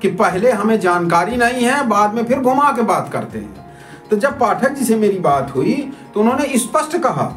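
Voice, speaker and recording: Male citizen reporter, high voice, argumentative informal commentary, music underneath. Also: sing-song, moderate pace, fairly bright tone. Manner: argumentative, repetitive, rhetorical questioning. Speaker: citizen journalist presenting a video report. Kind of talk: informal spoken commentary to viewers. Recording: roomy echo with music underneath.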